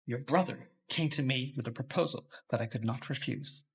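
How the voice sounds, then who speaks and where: A man playing a gravelly old tycoon father. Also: medium pitch, normal speed. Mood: stern. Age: older. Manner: commanding, scolding, turns weak and coughing later. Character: stern elderly male tycoon father, head of a family firm. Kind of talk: melodrama acting.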